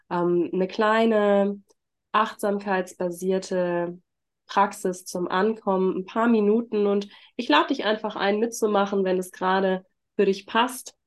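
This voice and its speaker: A woman with a congested voice from a heavy cold, speaking gently and informally as a yoga teacher leading a workshop. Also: medium pitch. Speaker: trauma-sensitive yoga teacher and facilitator. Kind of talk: workshop introduction and guided mindfulness.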